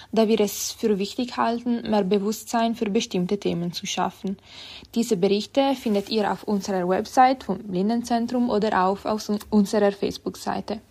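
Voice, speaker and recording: A woman's voice: mid-range voice, a little mellow, husky. Young Italian woman speaking German. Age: 20s. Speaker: school counselor giving a presentation. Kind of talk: friendly self-introduction, then reading an informative talk.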